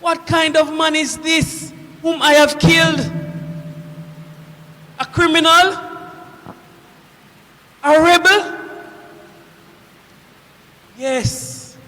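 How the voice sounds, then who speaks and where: A very high, bright voice rings through a long hall reverb. It is a proud Roman centurion, played by a man on stage, commanding and declamatory, yet shaken by guilt and dread. He speaks in slow, weighted phrases with dramatic pauses. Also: heavily acted. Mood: fearful, anguished. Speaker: actor performing a dramatic monologue. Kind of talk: theatrical church monologue.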